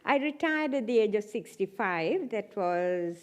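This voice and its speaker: A woman's voice: medium pitch, animated, unhurried. A woman in her seventies from Sri Lanka, giving a chatty church talk with self-deprecating humour. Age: 70s. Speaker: guest speaker. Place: church hall.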